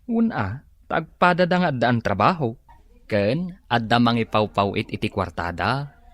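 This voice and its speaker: Animated, easygoing Ilocano village husband. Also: male voice, medium pitch, a little slow. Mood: cheerful. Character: poor, easygoing, jobless village husband, cheerful and eager to please. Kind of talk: Ilocano radio comedy drama acting.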